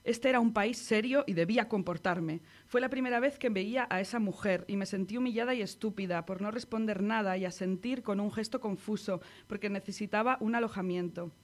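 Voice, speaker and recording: A woman from Spain with a Spanish accent, reading her own poem aloud on the radio in a measured, intimate way. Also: mid-range voice. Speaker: poet reading her work. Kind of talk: poetry reading.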